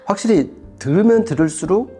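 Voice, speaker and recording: A man's voice: high voice, animated, brisk, mellow, soft background music. A man reviewing audio products in conversational commentary, explaining things with casual, emphatic phrasing.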